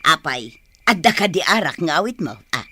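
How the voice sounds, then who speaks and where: A woman's voice: deep voice, sing-song, normal speed, pushed and bright, full character voice. A woman playing a brusque, chatty, money-minded grandmother in a village comedy radio drama, exclaiming and scolding.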